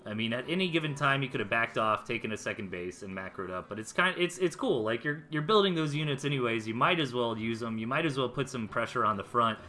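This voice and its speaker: An American man gives casual live commentary on a game. His voice is very bright and forceful at a medium pitch, and he explains plays in loose, slangy, conversational language. Guitar music plays behind him. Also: lively intonation, fast, hardly any pauses. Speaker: esports replay caster.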